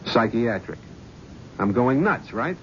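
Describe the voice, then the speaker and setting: An actor playing a tough middle-aged talent agent, blunt and dismissive. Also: male voice, medium pitch, sing-song, takes it slowly, a little hiss or hum. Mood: scoffing. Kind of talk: 1970s radio drama acting. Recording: dull vintage radio broadcast.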